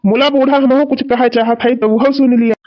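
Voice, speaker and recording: A man plays an insistent young village son-in-law in a cartoon comedy, his voice high and the sound dull. He complains plaintively in a rustic Awadhi accent. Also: steady tone, fairly quick. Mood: complaining. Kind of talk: rural cartoon comedy dialogue.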